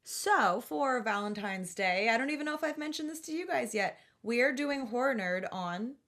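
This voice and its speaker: A woman's voice: fairly high pitch, animated, moderate pace, fairly bright tone. A middle-aged woman chatting casually as a podcast co-host. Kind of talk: casual chat.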